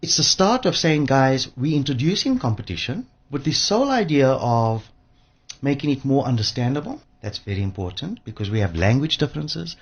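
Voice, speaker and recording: A man speaks as an expert guest in a radio interview. His voice is husky and swings widely in pitch as he makes emphatic, repeated points. The sound is somewhat dull and lo-fi. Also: sing-song, moderate pace. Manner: emphatic, repeats phrases for effect.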